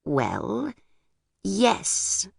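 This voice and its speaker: A woman voicing a reserved, wistful older lady in an audiobook, speaking gently and hesitantly as she looks back. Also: mid-range voice, wildly swooping pitch, drawn-out words, gravelly, breathy edge, no room sound, clean studio sound, pitched above the performer's own voice, exaggerated voice. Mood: startled, guarded. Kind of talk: audiobook character voice.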